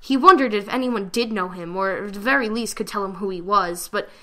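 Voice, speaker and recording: A woman giving a dramatic reading of a fan fiction story, with expressive delivery. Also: medium pitch, a little fast.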